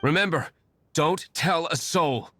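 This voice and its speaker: A man's voice: fairly high pitch, takes it slowly, fairly bright tone. An actor playing an aloof, brooding young nobleman and secret vigilante in an anime-style video game, speaking formally, dryly and with reserve. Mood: stern.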